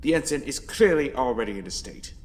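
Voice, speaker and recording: A man playing an authoritative, fatherly starship captain in a sci-fi audio drama, calm and commanding. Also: medium pitch, brisk, a little hiss or hum, close to an everyday voice. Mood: calm reassuring.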